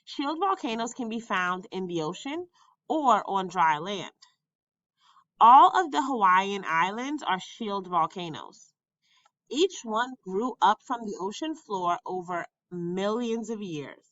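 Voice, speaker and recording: Casting: female teacher type for textbook read-aloud, bright and animated, deliberate delivery, dry sound. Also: medium pitch, dry recording, highs cut off. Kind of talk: educational read-aloud narration.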